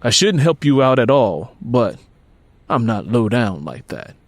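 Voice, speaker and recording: A sly, streetwise handyman in an audiobook story, played by a male narrator. His voice is husky and mid-pitched, and he talks in a casual, slangy, persuasive way. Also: big pitch swings, unhurried, dry recording. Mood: grudging.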